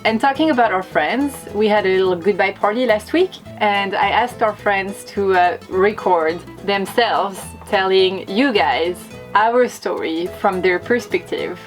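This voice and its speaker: A woman from France speaks with a French accent in a chatty, self-mocking vlog voice. Her pitch sits in the middle range, and the tone is casual and playful. Soft music plays faintly underneath. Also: measured pace, hardly any pauses. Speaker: vlogger.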